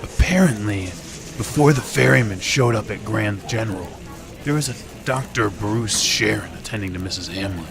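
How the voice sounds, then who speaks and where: An actor playing a gruff, brusque, boozy police detective in a 1920s old-time radio drama. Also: male voice, on the low side, moderate pace, crowd noise. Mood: brusque, demanding.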